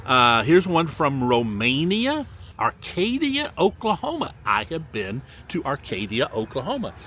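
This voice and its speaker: Older male vlogger, lively sing-song delivery, casual shout-outs outdoors with faint background noise. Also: fairly high pitch. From Mississippi. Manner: drawn-out, sing-song reading of place names with folksy asides. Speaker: vlogger reading viewer locations. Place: outdoors by a lakefront.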